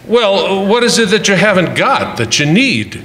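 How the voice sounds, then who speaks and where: In a roomy, echoing hall, a long-suffering husband , played by a man tells of married life. His voice is fairly high with wide swings, wry and sardonic in the manner of old radio comedy. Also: big pitch swings, a little slow, bright, forceful tone, a little hiss or hum, full character voice. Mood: dry, resigned. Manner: dry, sardonic asides.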